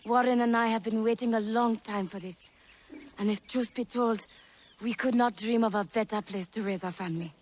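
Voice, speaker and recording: Woman playing high-voiced friendly settler woman. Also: normal speed, a little mellow, slight background noise, lightly acted. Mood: grateful, content. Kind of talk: video game cutscene acting.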